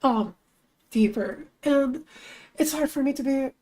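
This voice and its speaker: A young woman streamer chatting casually as she works, thinking aloud, her voice lower than usual today, with bursts of high excitement. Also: unhurried. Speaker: streamer and artist. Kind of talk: casual live-stream chat while working.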